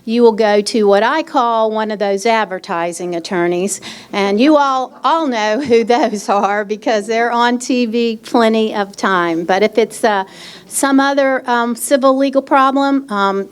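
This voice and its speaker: A woman's voice: on the high side, a little slow, smooth. A middle-aged woman presenting a legal aid workshop at an expo, explaining in a chatty way with many fillers, speaking into a handheld microphone. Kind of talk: public workshop talk. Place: expo hall.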